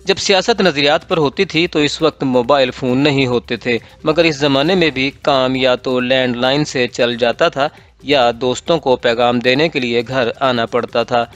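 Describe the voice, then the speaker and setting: A man's voice: mid-range voice, moderate pace, a bit bright, dull audio. A man with a Pakistani accent reading a political column as scripted narration. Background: background music.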